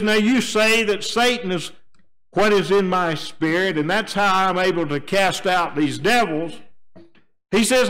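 Older male preacher at a pulpit, fairly high voice, drops low and quiet, then rises to emphatic appeals. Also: rough recording. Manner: swings between quiet low asides and raised emphatic appeals. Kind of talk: sermon. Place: church sanctuary, standing at a wooden pulpit. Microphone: pulpit microphone, filmed by a fixed camera.

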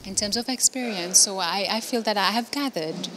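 A woman's voice: mid-range voice, moderate pace. Casting: Seychellois woman, 30s, hesitant interview answers, handheld mic, faint noise. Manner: hesitant, many fillers and restarts. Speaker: interviewee, new minister. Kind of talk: news interview. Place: indoor office room.

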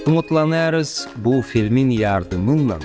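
A man giving a measured, deliberate scripted narration. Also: mid-range voice, lively intonation, music in the background.

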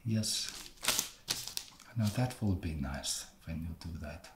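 A man acting as an awkward, creepy, fussy vampire in an ASMR roleplay, soft-spoken and full of halting hesitation. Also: low voice, animated. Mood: hesitant, creepy. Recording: roomy echo, loud background noise.

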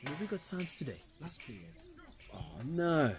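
Mischievous schoolboy male actor for audio drama, fairly high voice, casual and cheeky, very animated. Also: normal speed, over loud music. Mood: scheming.

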